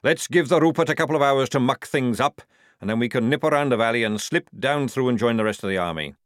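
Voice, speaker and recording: A man voicing a gruff, sarcastic old army sergeant who barks folksy orders in an audiobook. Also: medium pitch, quick pace, dry recording, clean studio sound, natural voice. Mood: brisk, sardonic. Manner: blustering, barking commands with folksy phrases.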